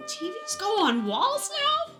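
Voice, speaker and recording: Woman doing a very high, bright befuddled old lady. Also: wildly swooping pitch, slow, soft background music, exaggerated voice. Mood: confused, excitable. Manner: dithering, forgetful, exclaiming. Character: befuddled, excitable elderly woman baffled by technology. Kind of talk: comic advert sketch in radio play.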